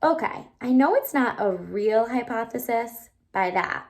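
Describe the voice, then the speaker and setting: A woman reading a children's novel aloud like a teacher, with animated storytelling and asides to explain or wonder. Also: on the high side, unhurried. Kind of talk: expressive children's book read-aloud.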